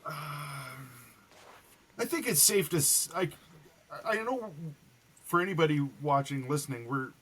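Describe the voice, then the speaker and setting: A man speaking casually as a guest novelist in a podcast interview, explaining things in a halting, step-by-step way. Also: fairly high pitch, lively intonation, fairly bright tone, slightly rough.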